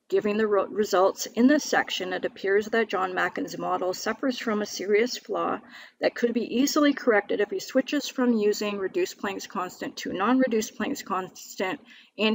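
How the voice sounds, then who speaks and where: A woman's voice: mid-range voice, vocal fry. A woman with a scratchy voice from a cold, explaining physics equations on screen in a hesitant way, with many fillers and restarts. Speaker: independent physics video maker. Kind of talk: walking through equations on screen.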